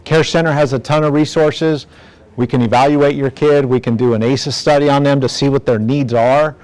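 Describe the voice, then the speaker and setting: A middle-aged man from the San Diego area with a medium-pitched voice. He presents informally to parents in the plain, direct way of a law enforcement officer doing community outreach. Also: fairly even intonation, moderate pace, a little mellow, poor audio. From National City, San Diego area, United States. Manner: plainspoken, direct, acts out imagined dialogue. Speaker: law enforcement community speaker on gang prevention.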